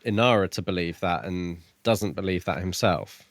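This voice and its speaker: A man's voice: sits low, normal speed, no room sound, clean studio sound. A man co-hosting a podcast, talking casually about books in a tentative, questioning way. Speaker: podcast co-host. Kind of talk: casual book discussion.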